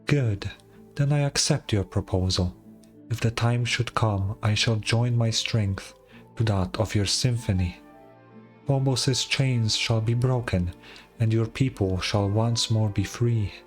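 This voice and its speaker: A man's voice: on the low side, soft background music. A man voicing an ancient, proud dragon lord from a fantasy game, stern and scornful in a grand, formal manner. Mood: intrigued, regal. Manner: grandiose, formal, deliberate delivery. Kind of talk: dramatic fantasy game dialogue.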